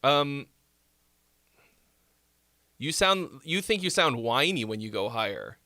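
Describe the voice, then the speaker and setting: A man's voice: mid-range voice, big pitch swings, takes it slowly, bright, forceful tone, dry recording, clean studio sound. Nasal-voiced man giving casual song feedback. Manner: rambling, many fillers and restarts. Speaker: music critique livestream host.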